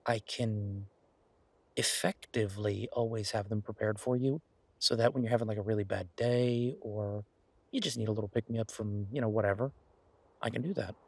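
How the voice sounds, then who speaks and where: Man playing a husky, low-voiced eager boyfriend. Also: moderate pace, no room sound. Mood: affectionate, teasing. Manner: teasing, earnest, rambling self-justification. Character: affectionate eager chef boyfriend, playful, food-loving, werewolf romance. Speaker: audio roleplay performer. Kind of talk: intimate conversational boyfriend audio roleplay.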